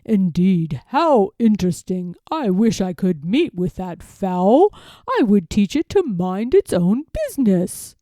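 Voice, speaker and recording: A woman voicing a sly, self-assured gentleman fox from a storybook, speaking in formal, drawn-out, over-polite phrases. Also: mid-range voice, very animated, no room sound, studio quality. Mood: smooth, obliging. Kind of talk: storybook character voice.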